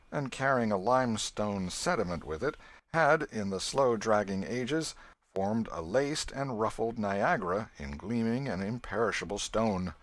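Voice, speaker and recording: A man reading a literary story aloud as an audiobook narrator, in a scripted style. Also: fairly low pitch.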